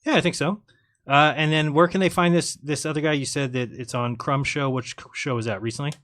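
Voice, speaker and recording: A man's voice: a little high, steady tone, fairly quick, fairly bright tone. A man hosting a live call-in show, chatting casually and joking with callers.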